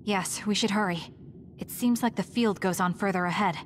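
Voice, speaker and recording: A woman's voice: mid-range voice, moderate pace, a little hiss or hum, lightly acted. A woman voicing a sober, straightforward soldier in an anime game, serious and direct. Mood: focused. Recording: music behind the voice.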